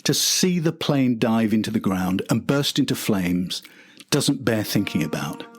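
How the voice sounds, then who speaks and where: A man's voice: lowish voice, animated, measured pace. An older man narrating a documentary from a script.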